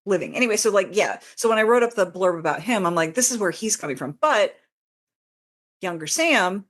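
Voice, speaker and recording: A woman with a slightly low voice speaks as a guest in a casual interview. She explains things in detail, doubling back and interrupting herself as she goes, in an informal, conversational way. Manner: rambling, self-interrupting, informal. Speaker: interview guest, fan fiction author. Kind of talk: casual, detailed explanation of own writing process.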